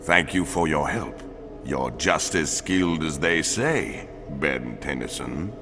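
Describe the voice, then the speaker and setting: A man voicing a formal, measured four-armed alien lawman in a video game cutscene. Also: a bass voice, lively intonation, in a large hall, full character voice. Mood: urgent. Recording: game audio with music underneath.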